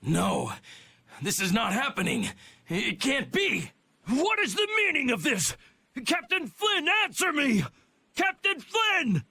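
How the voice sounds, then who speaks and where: A pompous knight officer in a video game, blustering and indignant. His voice is high and gravelly, with a raspy edge, and his delivery swings wide and lively. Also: takes it slowly, full character voice. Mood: distraught. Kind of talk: game voice acting.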